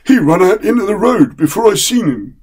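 A man's voice: sits high, very animated, fairly quick, a little mellow, slightly rough, voice pushed up, full character voice, low-quality recording. A man voicing a respectful, working-class chauffeur who speaks plainly and ungrammatically. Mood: placating.

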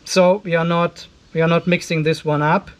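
High-pitched middle-aged man explaining tech. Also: little pitch movement, normal speed, clean tone. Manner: conversational, thinking aloud as he works. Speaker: host of an off-grid solar video channel. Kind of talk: casual hands-on tech demonstration, explaining aloud. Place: indoor garage workshop.

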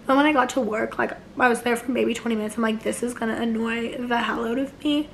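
A young woman in her twenties with a hoarse, failing voice, chatting casually to camera from her couch, rambling with many restarts. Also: fairly high pitch, fairly even intonation, fast talker, a little hiss or hum. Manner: rambling, many likes and restarts, gestures a lot. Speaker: vlogger. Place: living room couch, indoors.

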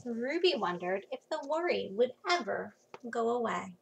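A woman in her thirties reading a children's storybook aloud expressively, with dramatic pauses and hushed emphasis, recorded on a computer mic in a small room at home. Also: fairly high pitch, very animated, gravelly. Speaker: children's book reader. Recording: faint background noise.

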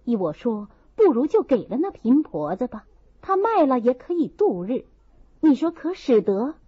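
A woman voicing a playful, good-natured teenage boy aristocrat in a novel reading, with an eager, flattering manner. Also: sits high, normal speed, husky, exaggerated voice. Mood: eager, coaxing. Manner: flattering, exclamatory. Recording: slightly dull, muffled recording.

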